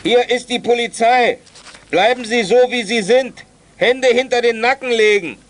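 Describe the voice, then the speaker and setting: A man playing a gruff, impatient policeman, brusque and official, in a youth radio drama. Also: extremely high pitch, moderate pace. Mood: commanding. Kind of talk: 1980s German youth radio drama acting.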